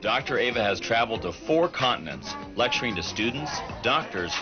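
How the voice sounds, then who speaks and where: A man narrates a promotional video in a smooth announcer delivery. His pitch moves up and down a lot. The sound is dull and lo-fi, with music running underneath. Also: lively intonation, a little fast. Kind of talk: scripted promotional voice-over.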